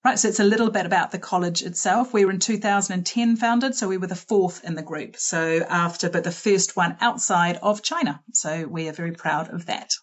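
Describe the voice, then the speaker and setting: A middle-aged woman with a New Zealand accent giving an online school presentation, brisk with many fillers. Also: lowish voice, muffled recording. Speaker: head of college presenting.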